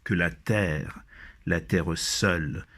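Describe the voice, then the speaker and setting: Slow, low-voiced man reciting poetry. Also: fairly bright tone, husky.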